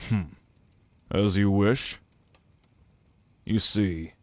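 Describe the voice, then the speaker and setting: A man acts out an ancient, fiery immortal from a fantasy audio drama. His voice is low and very unhurried, formal and solemn, with a haughty disdain for mortals. The sound is dry, with no room on it. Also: a little mellow, no echo. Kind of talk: fantasy audio roleplay acting.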